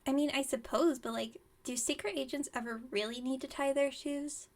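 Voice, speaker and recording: Woman as scatterbrained young witch running a shop: high voice, theatrical salesmanship, chatty rambling, ASMR roleplay. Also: steady tone, moderate pace. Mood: chatty, confiding. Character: flustered, dramatic, scatterbrained young female witch shopkeeper.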